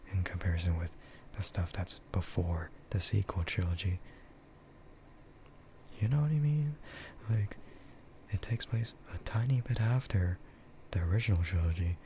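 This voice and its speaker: A man speaking softly in a breathy half-whisper, rambling casually and hesitantly like an ASMR streamer close to the mic. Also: low voice. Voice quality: soft, breathy, often whispered. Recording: muffled lo-fi stream audio, clear background noise.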